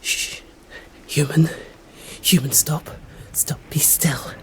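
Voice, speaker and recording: A woman with an English accent voicing a stern, hungry yet protective female vampire in an ASMR roleplay, whispering slowly in formal, old-fashioned phrasing. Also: low-pitched, fairly even intonation, reverberant. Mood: commanding.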